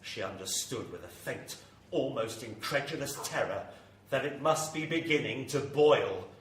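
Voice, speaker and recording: A man's voice: medium pitch, expressive, moderate pace, lightly acted. A man playing a grave, theatrical narrator of a horror radio drama, telling it with suspense. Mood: suspenseful, ominous. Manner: theatrical, suspenseful, building to intensity. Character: grave, ominous male horror story narrator. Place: live performance venue with audience. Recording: echoey live hall, distant mic, faint noise.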